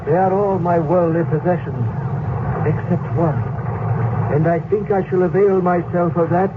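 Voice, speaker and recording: Brief: actor as a cold, threatening mad priest villain, high-pitched, old radio drama on a tinny, noisy broadcast. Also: male voice, fairly even intonation, moderate pace, close to an everyday voice. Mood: menacing. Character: mad, menacing male Egyptian priest, captor. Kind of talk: 1970s radio drama acting.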